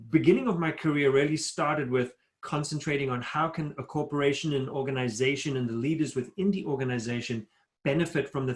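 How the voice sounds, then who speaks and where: A middle-aged man from Africa, giving an earnest, emphatic motivational talk as a guest speaker over a video call. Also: mid-range voice. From South Africa. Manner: earnest, emphatic, builds points in lists. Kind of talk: motivational talk at a virtual town hall.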